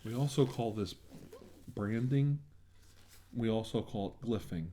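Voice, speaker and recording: A man's voice: lowish voice, measured pace, dark tone, slight background noise. A man doing an ASMR roleplay as a medical examiner, talking in a reassuring, attentive bedside manner.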